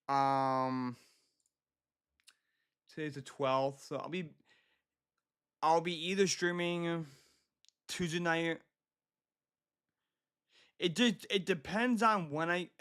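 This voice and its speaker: An American man from Michigan chatting casually to his livestream viewers, halting and often repeating himself. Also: mid-range voice, fairly bright tone, smooth. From Michigan, United States. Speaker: livestream host.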